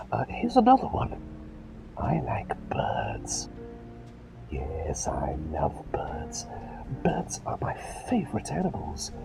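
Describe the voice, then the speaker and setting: Whispering, gravelly, courteous male ASMR doctor. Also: mid-range voice, poor audio. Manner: courteous, old-fashioned phrasing, dithering and repeating himself. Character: gentle, eccentric, absent-minded male plague doctor, polite and chatty. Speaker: ASMR roleplay performer. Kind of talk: whispered ASMR roleplay, personal attention.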